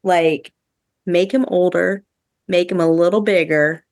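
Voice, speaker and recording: Lady podcaster w low voice, dry close sound, chatty, says like a ton, lots of opinions. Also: steady tone, measured pace, a little mellow, no echo. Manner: chatty, heavy use of "like", opinionated. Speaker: podcast host. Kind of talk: casual review chat among co-hosts.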